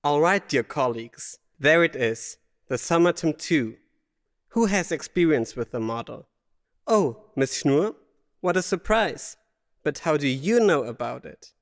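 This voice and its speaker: A male lecturer addresses his class in a motion comic scene. His voice sits a little high, and his manner is condescending. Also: animated, a little slow. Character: male university lecturer, mockingly surprised by a student.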